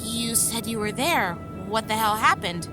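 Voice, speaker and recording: An actress playing an easygoing, naive young vampire seer in an audio drama, chirpy and guileless. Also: female voice, fairly high pitch, expressive, unhurried, music in the background. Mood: curious, wary.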